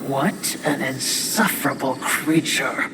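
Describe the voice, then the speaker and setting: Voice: medium pitch, slow, distant mic, close to an everyday voice. A man playing a sly, servile, complaining demon minion, drawling sarcastically in a video game cutscene. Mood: peevish unease. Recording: echoey, noisy, loud ambience.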